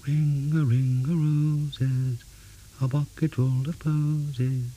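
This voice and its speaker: A man voicing ghostly young children in a storybook tale, in a slow, drawn-out singsong that drops toward a whisper. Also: a little high, level tone, mellow, voice pushed up. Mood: eerie singsong. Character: ghostly young children singing an old nursery rhyme.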